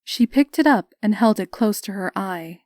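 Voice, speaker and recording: A woman's voice: medium pitch, expressive, moderate pace, darkish tone, no room sound, clean studio sound. A woman reading scripted story narration.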